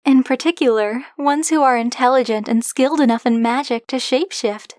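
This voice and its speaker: A woman acts out a young dragon-blooded woman librarian with a high voice, recorded very dry and close. She is coy, teasing and possessive, talking straight to the listener as in an ASMR roleplay. Her delivery is conversational, candid and openly fond. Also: brisk, a little mellow, no echo, natural voice. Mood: chatty, self-assured.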